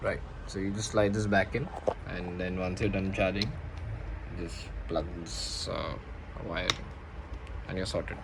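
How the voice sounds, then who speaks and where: A man with an Indian accent casually showing his gear as a vlogger, unscripted and often pausing to search for words. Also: low-pitched, fairly even intonation, heavy background noise. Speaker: motovlogger presenting own gear. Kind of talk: casual unscripted product show-and-tell.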